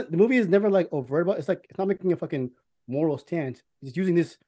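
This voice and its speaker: A man in a casual movie discussion, talking in a rambling, halting way with plenty of swearing. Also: on the high side, darkish tone. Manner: rambling, halting, profanity-laced. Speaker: podcast co-host.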